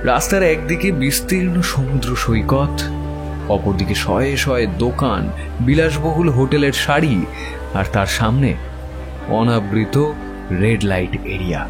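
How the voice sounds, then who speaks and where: Male audio-story narrator, mid-pitched, measured and literary, with prominent guitar music underneath. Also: expressive. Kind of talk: scripted first-person story narration. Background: plucked guitar music.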